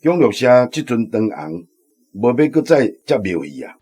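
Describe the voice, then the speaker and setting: A village temple keeper with a Taiwanese accent in a radio play. His voice is dark and husky, matter-of-fact but moving freely in pitch. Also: big pitch swings, moderate pace. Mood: resigned. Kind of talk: radio drama acting.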